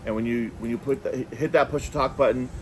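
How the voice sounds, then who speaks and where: A man giving a casual hands-on product review, talking in a halting way and repeating words as he goes. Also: medium pitch, steady tone, slight background noise.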